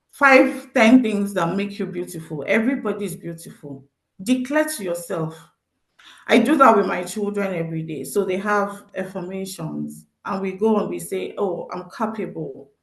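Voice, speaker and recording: A woman's voice: mid-range voice, expressive, normal speed, vocal fry, fairly bright tone. Nigerian-accented woman, event speaker; earnest, encouraging, repeats for emphasis; echoey room. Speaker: speaker at a teen event, social worker. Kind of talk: motivational talk to teens. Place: event venue.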